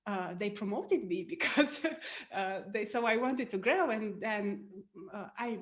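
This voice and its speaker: A woman's voice: medium pitch, measured pace. A middle-aged woman from Romania with a Romanian accent, a business coach telling her story in a podcast interview with many "uh"s and small laughs. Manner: frequent "uh" and "um", laughs often.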